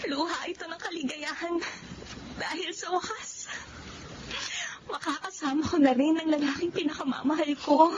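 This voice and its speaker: An actress playing a sweet, devoted, soft-hearted young bride-to-be in a Filipino radio drama. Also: female voice, high voice, moderate pace, lightly acted. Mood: tearful joy. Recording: muffled lo-fi audio with clear background noise.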